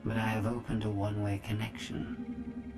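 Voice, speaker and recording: A woman's voice: rumbling low, flat delivery, normal speed. Female android, icy, detached, precise, condescending, sci-fi game dialogue, music behind. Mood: cold, clinical. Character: cold, superior female artificial intelligence droid, Scorpio. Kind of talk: video game cutscene acting.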